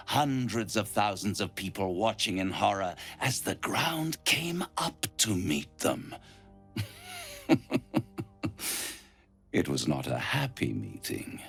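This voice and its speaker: Video game villain: ancient scheming devil, grandiose and suave, low gravelly purr with husky edges. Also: male voice, fairly bright tone, full character voice. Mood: gleefully grim. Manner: silky, grandiose, savours words with dramatic pauses.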